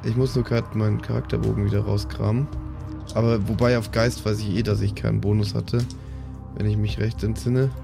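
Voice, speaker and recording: A man playing in an improvised tabletop audio show, casual and slangy with a creaky voice. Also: on the low side, flat delivery, brisk. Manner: slangy, colloquial. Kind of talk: casual improvised role-play banter. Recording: music bed behind the voice.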